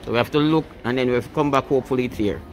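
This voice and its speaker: A man's voice: medium pitch, very fast. A Jamaican man chatting casually for a vlog in playful, teasing patois banter. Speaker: family vlogger. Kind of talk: casual joking vlog chat.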